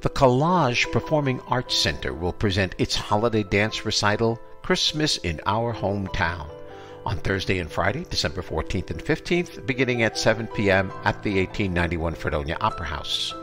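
Low-pitched older American male podcast host. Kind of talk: announcing and interviewing on a podcast.

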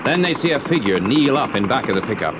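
A man's voice: mid-range voice, big pitch swings, fast, heavy background noise. A man narrating a scripted children's adventure story as its storyteller. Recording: old story cassette, music underneath. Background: music.

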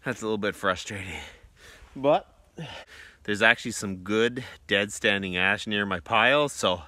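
A man's voice: fairly low pitch, unhurried, sharp tone, no echo. A young man talking casually to the camera while cutting firewood outdoors in the woods, thinking aloud between jobs. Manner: chatty, thinking aloud between chainsaw cuts. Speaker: homesteader vlogger.

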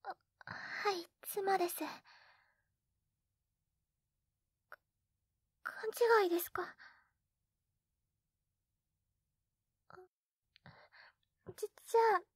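A woman playing a sweet, clingy young wife in an intimate binaural ASMR roleplay, speaking softly and breathily close to the mic. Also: very high-pitched, steady tone, unhurried, no room sound. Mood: flustered, tearful. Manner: hesitant, soft, breathy, clingy. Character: sweet, tipsy, jealous young wife doting on her husband. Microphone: close binaural dummy-head microphone.

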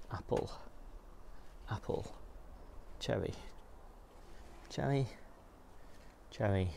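Middle-aged man, lowish voice, creaky, understated, casual walking vlog narration, outdoors. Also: a little mellow. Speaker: gardener giving a garden tour. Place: outdoors in a home garden. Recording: clear outdoor background noise.

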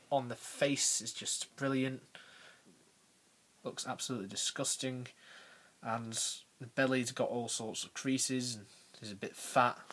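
A young man around nineteen giving a casual, unscripted review as a video creator, halting and pausing mid-phrase. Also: medium pitch, sharp tone. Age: young adult, about 19. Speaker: YouTube toy reviewer. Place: small bedroom, indoors. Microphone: handheld camera's built-in mic.

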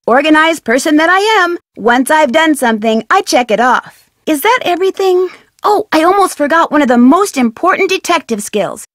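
An actress playing a curious, upbeat young woman detective in a video game, with a friendly, clearly articulated delivery. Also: high-pitched, animated, moderate pace, dry recording, studio quality. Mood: upbeat, instructive. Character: Nancy Drew, curious, upbeat young woman amateur sleuth.

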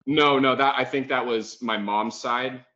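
A man's voice: medium pitch, fairly even intonation, unhurried, bright, forceful tone. An American man in his thirties, a podcast guest on a video call, talking in a laid-back way with lots of "like.". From United States. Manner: laid-back, says "like" a lot. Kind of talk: casual video-call interview. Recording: muffled video-call audio.

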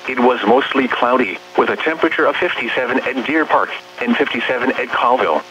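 A synthetic man's voice reading an automated weather bulletin in a measured, impersonal way, as a radio announcer. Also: mid-range voice, pushed and bright. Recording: hummy, muffled weather radio reception. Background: radio hum and noise.

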